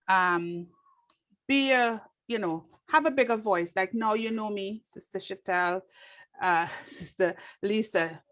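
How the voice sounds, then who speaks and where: A woman's voice: medium pitch, a bit bright. Assertive, emphatic woman panellist. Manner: assertive, emphatic, repeats for stress. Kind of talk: panel talk. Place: online video call.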